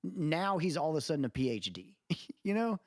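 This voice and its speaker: A man's voice: fairly high pitch, sing-song, brisk, no echo, studio quality. A man co-hosting a podcast in casual, opinionated chat, rambling and emphatic, often checking that he is being followed. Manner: rambling, emphatic, often checks with listener "you know what I mean". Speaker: podcast co-host. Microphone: close mic on remote podcast call.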